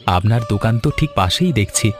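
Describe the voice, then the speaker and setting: A man voicing a friendly young food seller, earnest, polite and chatty, in an animated story. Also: lowish voice, brisk, faint music behind, lightly acted. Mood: eager, friendly. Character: eager young fish-fry seller, friendly village man.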